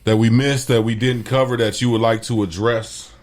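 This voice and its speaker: A man's voice: mid-range voice, fairly even intonation, normal speed. Slangy, stammering young male host. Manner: friendly slangy talk, stammers, repeats words. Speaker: podcast host. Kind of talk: casual interview chat.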